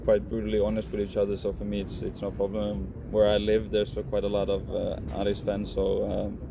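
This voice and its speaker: A man in his thirties from Finland, answering interview questions about his career in a calm, frank way with many restarts. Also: lowish voice, fairly quick, gravelly. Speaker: professional footballer. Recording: noisy background.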